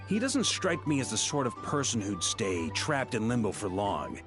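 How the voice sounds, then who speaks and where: A man's voice: medium pitch, very animated, moderate pace, close to an everyday voice. A man voicing an upbeat, sincere comrade in a video game, speaking in an earnest, appreciative way. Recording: clear music behind the voice.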